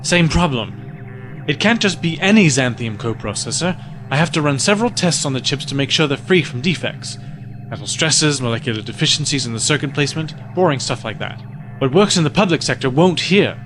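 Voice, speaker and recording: A man playing a calm, reassuring tech expert who explains things matter-of-factly in an audio drama. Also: fairly high pitch, a little fast, music in the background, lightly acted.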